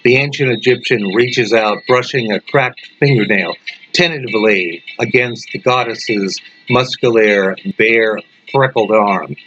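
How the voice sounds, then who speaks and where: A man reading a horror story as scripted narration, deliberately, with dramatic pauses. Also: medium pitch. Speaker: story reader. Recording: dull lo-fi recording with loud background noise.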